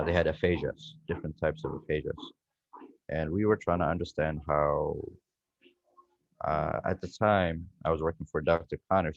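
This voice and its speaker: Guest: deep-voiced man, reflective and rambling, dry lo-fi video call sound. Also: creaky, dry recording. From Ethiopia. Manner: reflective, rambling with frequent "you know" and restarts. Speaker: guest researcher. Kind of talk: conversational storytelling on a video call. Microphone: video call microphone.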